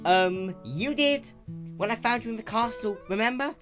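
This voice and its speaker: A woman acts out a brash tomboy pegasus from a cartoon fan story. The voice is pushed high and jumps around with excitable, teasing cockiness. The delivery is slangy and full of exclamations. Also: normal speed, music in the background, close to an everyday voice. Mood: teasing, amused. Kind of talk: dramatic fan-fiction reading.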